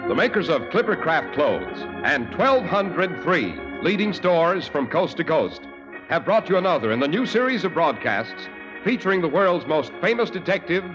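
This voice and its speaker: A man delivering a formal 1940s radio announcement, his pitch rising and falling with lively emphasis. The old broadcast sounds dull and muffled, with organ music playing clearly behind him. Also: normal speed.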